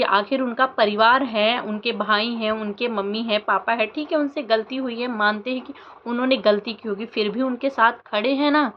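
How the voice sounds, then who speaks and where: A young woman vlogger giving earnest, emotional commentary to camera, firing off rhetorical questions with many fillers. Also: on the high side. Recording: dull lo-fi sound with faint background noise.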